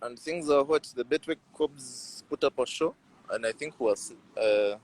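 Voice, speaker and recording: A man in his twenties from Uganda, speaking with a Ugandan accent. He tells personal stories as an interview guest in a loose, casual way. His delivery is animated, with phrases repeated for emphasis. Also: medium pitch, a little slow, poor audio. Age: 20s. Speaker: rugby player, interview guest.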